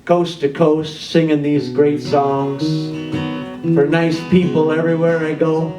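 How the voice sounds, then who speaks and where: A man's voice: on the high side, level tone, a little slow, recorded from a distance. A man who performs as a touring singer-guitarist, chatting casually to introduce songs. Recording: roomy echo, loud guitar behind. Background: acoustic guitar.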